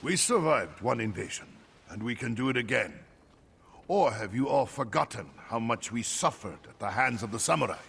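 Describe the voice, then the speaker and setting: An actor playing a bitter, defiant older islander who tells stories in a dramatic, emphatic way in a video game. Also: male voice, mid-range voice, lively intonation, normal speed, gravelly, a bit bright, a little hiss or hum. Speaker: village storyteller.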